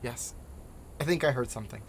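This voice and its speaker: A man acts a dry, guarded male scholar in an audio drama. He answers tersely in a natural, mid-pitched voice, with slight background noise. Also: fairly quick, creaky, lightly acted. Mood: dry, hushed. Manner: terse, dry, clipped replies.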